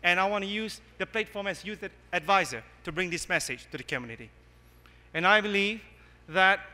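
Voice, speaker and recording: Earnest young man, bright rallying speech. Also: high voice. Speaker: candidate giving a campaign speech. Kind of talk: prepared speech at a podium. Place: large conference hall. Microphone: podium microphone. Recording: long hall reverb.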